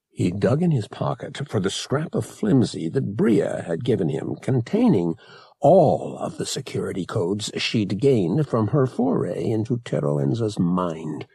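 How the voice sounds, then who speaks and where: A male narrator reads scripted fiction with expression. His pitch moves widely around a middle register. The voice is gravelly, and the recording is close and dry. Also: dark tone, no echo. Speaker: audiobook narrator.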